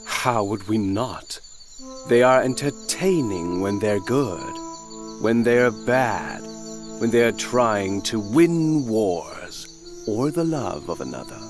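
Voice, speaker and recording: An amused, worldly god voiced by a man in a fantasy audio drama. His voice is low and leisurely, savouring each phrase over clear music. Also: expressive, slow pace. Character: amused, worldly male god watching mortals.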